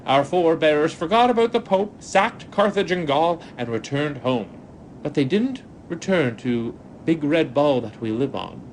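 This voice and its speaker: Pompous, swooping Martian warlord villain. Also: male voice, a little high, animated, a little slow, a little hiss or hum. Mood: grandiose storytelling. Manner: pompous, theatrical speechifying. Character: pompous, bloodthirsty, bombastic male Martian warrior villain. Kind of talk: audio drama acting.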